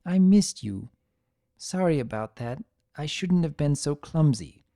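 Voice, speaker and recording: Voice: medium pitch, animated, unhurried, warm tone, dry recording, studio quality. A narrator voicing a kindly, practical mother pegasus with a motherly, coaxing manner in a cartoon pony story. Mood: cheerful, apologetic.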